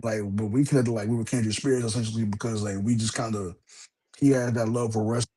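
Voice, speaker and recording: A man's voice: on the low side, steady tone, fast, mellow, slightly rough. Rambling man hosting a podcast. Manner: rambling, many fillers and restarts. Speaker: podcast host. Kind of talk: casual chat.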